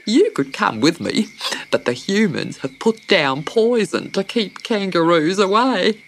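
A woman's voice: mid-range voice, lively intonation, measured pace, a little hiss or hum, voice pushed up. A woman voicing a big giddy emu bird in a storybook, giggling as she talks. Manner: giggly, bubbling with laughter.

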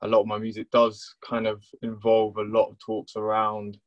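A young man in his twenties introducing himself on a video call from his bedroom, relaxed and hesitant with many ums. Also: fairly low pitch, normal speed. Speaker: panelist, musician and filmmaker. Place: bedroom at home. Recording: dull, lo-fi video call audio.

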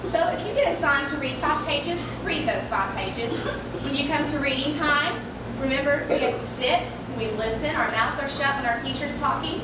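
A young woman teacher with a fairly high voice addresses a school assembly, upbeat and full of questions for the kids. Her voice carries through a big echoey gym, picked up from a distance. Background noise runs underneath. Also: animated, normal speed. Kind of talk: school assembly talk to children. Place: large school gym in front of students. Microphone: handheld mic, recorded by far camera. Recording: echoey gym, from far off, noisy.

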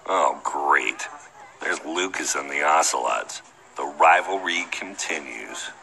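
A man playing a big, gruff, goofy young sidekick in a video game, blunt and gravelly. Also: extremely low pitch, takes it slowly, bright, forceful tone, faint music behind, exaggerated voice. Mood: uneasy. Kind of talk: comedic video game voice acting.

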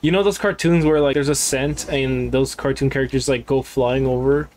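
A man's voice: mid-range voice, steady tone, moderate pace, darkish tone. A young man giving casual, irreverent, slangy commentary as he streams a video game. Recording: game music in background. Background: game music.

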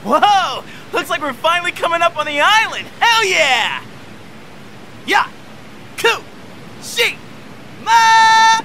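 Cocky, wildly animated teenage boy. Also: very high-pitched, slow, pauses often, a little hiss or hum, exaggerated voice, low-quality recording. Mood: excited. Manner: slangy, teasing, showy. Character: cocky, goofy, excitable teenage boy, the joker. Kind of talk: comic anime game dub.

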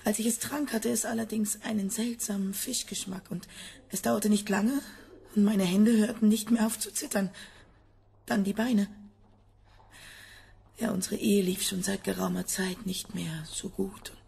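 An actress playing a sick, embittered scientist's wife in a radio drama, agitated, halting and insistent. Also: female voice, mid-range voice, fairly even intonation, gravelly, warm tone, breathy edge, exaggerated voice. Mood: bitter, despairing. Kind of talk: German radio drama acting.

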